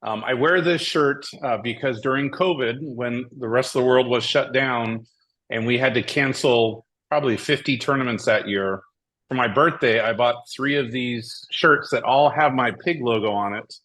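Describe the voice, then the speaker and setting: A man from Hawaii, a tournament director guest, telling his story in a steady, methodical way. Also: medium pitch, normal speed. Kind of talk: casual interview.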